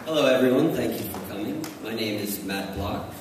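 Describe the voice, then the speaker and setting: A man from Maryland introducing himself on a convention panel, slow and laughing between words. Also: on the low side, lively intonation, far from the mic, heavy background noise. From Maryland, USA. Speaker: panelist, bar owner. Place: large convention hall. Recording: echoey hall, loud crowd. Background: loud audience.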